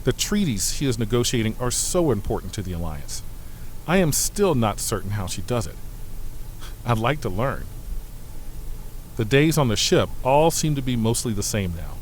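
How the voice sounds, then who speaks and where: A man's voice: medium pitch, very animated, normal speed, a little hiss or hum, lightly acted, low-quality recording. A man playing an earnest starship aide in a science fiction audio drama, confiding and grumbling mildly as if in a personal letter. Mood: wistful, reflective. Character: earnest male starship aide to a demanding ambassador.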